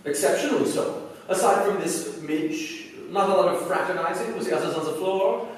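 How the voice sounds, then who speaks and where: A man's voice: high voice, moderate pace, natural voice. A man acting a courteous but menacing Nazi agent in a 1940s-style radio play, speaking formally and precisely. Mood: polite menace. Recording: echoey hall, distant mic, faint noise.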